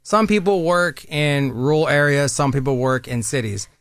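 A man's voice: a little high, normal speed, no echo. A man in his thirties from Southern California, a military recruiter speaking as a guest in a radio interview in an upbeat, persuasive, conversational way.

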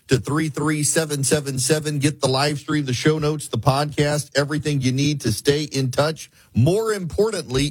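A man from the American South runs his political talk radio show with a sarcastic, mocking edge. His voice is husky and sits a little high. The studio sound is dry and close. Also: dry recording.

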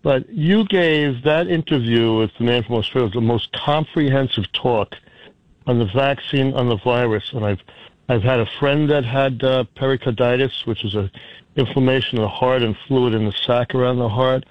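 A man calling in to a radio show, telling a chatty, rambling story with halting restarts. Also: mid-range voice, dry recording, muffled recording. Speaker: radio caller. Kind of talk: phone-in conversation.

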